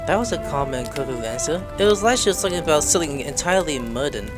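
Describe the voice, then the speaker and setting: A woman's voice: deep voice, racing. A woman hosting a game playthrough, reading dialogue aloud and joking along with plenty of swearing. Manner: joking, foul-mouthed, animated. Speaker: gameplay video host. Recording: game music behind.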